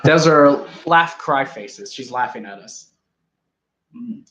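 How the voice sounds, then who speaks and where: A man's voice: medium pitch, expressive, takes it slowly, a bit bright, reverberant, low-quality recording. A man casually reviewing a wrestling show, rambling and joking as he argues with his co-host. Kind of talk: casual live review chat.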